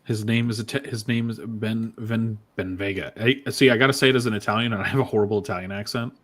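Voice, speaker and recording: A man's voice: fairly low pitch, fairly even intonation, a bit bright. A man hosting a casual podcast live stream, joking and teasing his co-hosts. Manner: jokey, quick laughs, teasing. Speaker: podcast host.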